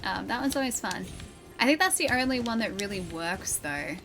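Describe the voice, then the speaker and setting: A woman's voice: medium pitch, lively intonation, moderate pace, a bit bright, soft background music. A woman with a mild Australian accent and a slightly nasal voice, chatting casually and laughing often while she plays and streams a game. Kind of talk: casual gameplay commentary.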